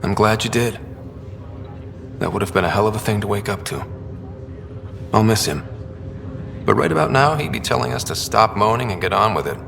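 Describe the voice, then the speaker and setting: A man's voice: lowish voice, lively intonation, moderate pace, vocal fry, pauses often, long reverb tail, background noise. An actor playing a wry, easygoing young man in a video game. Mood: subdued, sincere. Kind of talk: video game dialogue acting.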